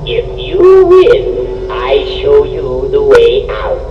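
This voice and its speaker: A man's voice: fairly high pitch, wildly swooping pitch, exaggerated voice. A man voicing a slimy, greedy cave creature, hissing and drawing out words, in a distant echoing recording. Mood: sly, hungry. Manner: slow, drawn-out, hissing. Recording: distant, echoing, dull, loud music behind.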